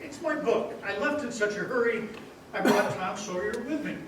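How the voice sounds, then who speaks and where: A man's voice: high-pitched, expressive, moderate pace, fairly bright tone, slight background noise. A man playing an eager, innocent old apprentice angel in a live radio drama, earnest and chirpy. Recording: echoey theater stage from a distance.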